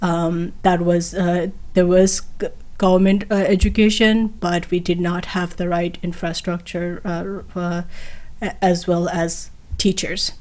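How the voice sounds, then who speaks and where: A woman's voice: on the low side, flat delivery, measured pace, a little mellow. A young woman from Nepal, a guest on a podcast interview, speaking hesitantly with many fillers and restarts. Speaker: guest, PhD candidate.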